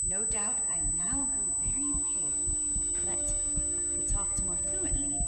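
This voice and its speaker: An actress playing a guilt-crazed, unhinged murderer confessing in dramatic, formal language in a gothic audio drama. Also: female voice, mid-range voice, expressive, unhurried, natural voice. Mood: growing unease. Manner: dramatic, archaic formal diction. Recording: echoey reverberant hall with loud music behind.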